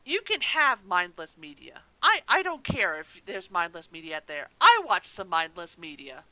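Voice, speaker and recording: Female podcast co-host. Sharp, animated delivery, emphatic casual opinions, unafraid to curse. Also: on the low side, normal speed, poor audio. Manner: emphatic, ranting, freely swearing.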